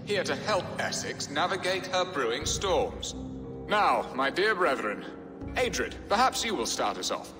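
Jovial, swooping Saxon lord. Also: male voice, a little high, big pitch swings, measured pace. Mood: placating. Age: middle-aged. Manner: flowery, theatrical, exclamatory. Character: jovial, theatrical middle-aged Saxon lord, evasive and flippant. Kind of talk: video game cutscene acting. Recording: echoing reverb with game music behind.

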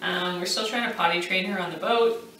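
Woman in her thirties, casual vlog host, laughs easily; bright tone, a little faint noise. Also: fairly low pitch, fairly quick. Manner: chatty, laughs easily, playful. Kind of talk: casual chat. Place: living room at home, indoors. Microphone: far camera. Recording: echoey room, mic at a distance.

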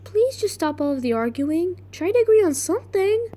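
A sick woman with a cracking voice voicing an earnest young female character in an amateur animated movie audition. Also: very high voice, normal speed, pitched above the performer's own voice. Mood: pleading.

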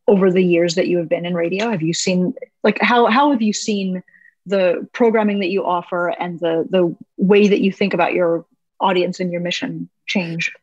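Lowish-voiced woman host with a dry, dull sound. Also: a little mellow, dry recording. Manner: friendly, affirming, asks long rambling questions. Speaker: podcast host, interviewer. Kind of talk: conversational interview.

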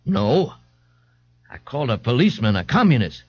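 A man's voice: medium pitch, very animated, moderate pace, darkish tone, no echo, highs cut off, voice pushed up. A man voicing a meek, nervous old man, glum and hesitant, in an audiobook. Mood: glum, apologetic.

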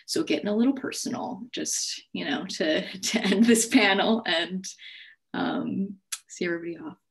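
A young adult woman moderating a panel on a video call, relaxed and using fillers. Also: medium pitch, normal speed, gravelly. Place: home office. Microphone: earbuds on a video call.

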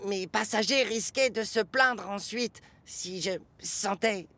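A man's voice: sits high, slow, sharp tone, slight background noise, heavily acted. A man voicing a vain, squeamish steam engine in a children's cartoon dub, boastful and theatrical. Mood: sheepish.

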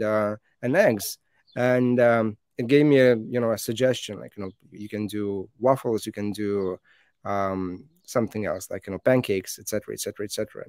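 A man in his thirties, a native Lithuanian speaker, gives a conference talk over slides, halting with many fillers and restarts. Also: mid-range voice, a little mellow, no room sound, studio quality.

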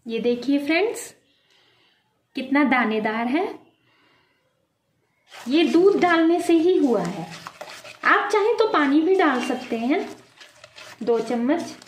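A woman hosting a home cooking video, calmly talking viewers through each step and calling them friends. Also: sits high, slow, pauses often, echoey room, a little hiss or hum. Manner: addresses viewers as friends, calm explaining. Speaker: cooking channel host. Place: home kitchen at the stove.

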